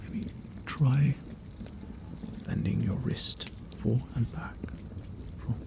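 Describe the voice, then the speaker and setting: A man playing a gentle, caring mage in an ASMR fantasy roleplay, speaking softly and soothingly and often dropping toward a whisper. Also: mid-range voice, extremely slow, gravelly, noisy background. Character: gentle, caring male mage healing a weary traveler. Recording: faint nighttime cricket ambience.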